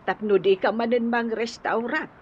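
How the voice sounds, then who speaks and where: A woman's voice: a little high, fairly even intonation, normal speed, close to an everyday voice. A woman playing a tender older mother fussing over her grown son in a radio drama, with a Filipino accent. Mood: doting. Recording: dull, faintly noisy radio recording.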